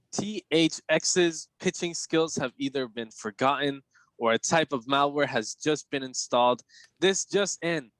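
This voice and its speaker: A man playing a brisk, formal news announcer delivering bulletins in a sci-fi audio drama. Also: a little high, a bit bright, no echo, natural voice, rough recording.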